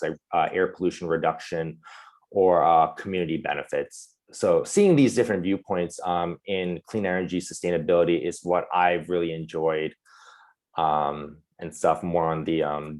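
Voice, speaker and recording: A young man speaking casually as a webinar panelist over a video call, halting with many fillers and restarts. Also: sits low, a little mellow.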